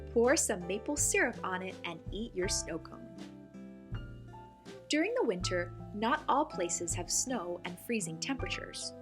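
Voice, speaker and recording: Animated woman reading a children's picture book. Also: medium pitch. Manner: animated, clearly phrased delivery for young children. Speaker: children's story reader and host. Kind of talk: expressive picture-book read-aloud.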